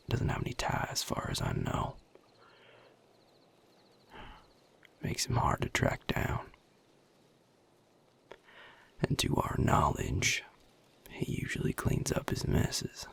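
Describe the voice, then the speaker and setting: A man playing a weary, protective vampire sire in an intimate audio roleplay, with a Southern US accent, a husky, gravelly low voice and a folksy, guarded drawl. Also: a bit bright, full character voice. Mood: grim, matter-of-fact. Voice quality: very deep, gravelly, husky and breathy. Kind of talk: audio roleplay drama, intimate one-sided dialogue.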